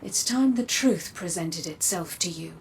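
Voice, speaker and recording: A woman playing a grave, cryptic seer in a video game, hushed and deliberate. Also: on the low side, lively intonation, creaky, a little hiss or hum, poor audio. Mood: grave, foreboding. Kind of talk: dramatic video game narration.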